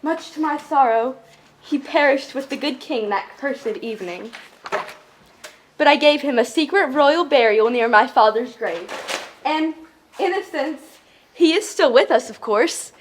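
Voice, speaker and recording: Woman playing a high-voiced, bold young princess. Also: animated, unhurried, a little hiss or hum. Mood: solemn. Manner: bold, declamatory. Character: brave, defiant young princess. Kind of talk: musical theatre staged reading. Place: indoor stage at an arts venue.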